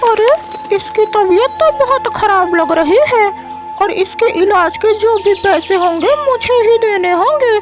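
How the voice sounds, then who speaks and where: A woman's voice: extremely high pitch, lively intonation, a little slow, over music, voice pushed up. A woman voicing a harsh, selfish father crow in a cartoon moral story, with a scolding, blustering manner. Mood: scheming.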